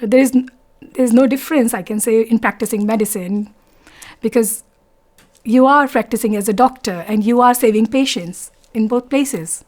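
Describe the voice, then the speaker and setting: A woman in her forties from India with an Indian accent, telling her story in an interview, softly and haltingly, often pausing to search for words. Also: fairly high pitch. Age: 40s. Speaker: interviewee, doctor.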